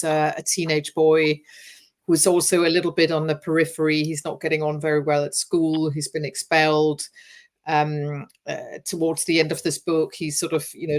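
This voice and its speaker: A middle-aged woman, a crime author talking as a guest over a video call, thoughtful and hesitant with many fillers. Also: deep voice. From Denmark. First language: Danish. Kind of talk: casual interview talk.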